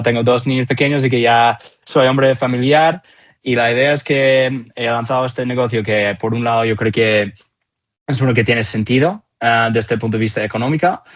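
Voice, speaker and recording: A man in his thirties with an American accent and English as his first language, answering interview questions in halting phrases with repeated words. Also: medium pitch. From Atlanta, southeastern United States. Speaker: company founder and CEO, interview guest.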